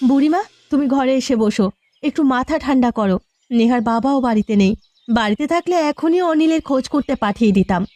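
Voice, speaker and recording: A woman's voice: high-pitched, a little fast, darkish tone, no echo, natural voice. A woman playing an anxious, protective mother of a grown daughter in a cartoon drama, speaking in a firm, urgent, pleading way. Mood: worried, firm.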